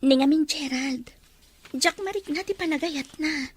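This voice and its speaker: A woman's voice: high voice, unhurried. A woman voicing a lovesick, insistent teenage girl in an audio drama, with an Ilocano Filipino accent. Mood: defensive.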